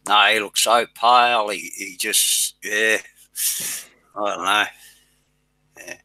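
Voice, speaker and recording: A man's voice: fairly low pitch, steady tone, slow, sharp tone, no echo, low-quality recording. Blunt, mocking man. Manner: blunt, mocking, swears freely. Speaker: channel host. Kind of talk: casual chat on a live show.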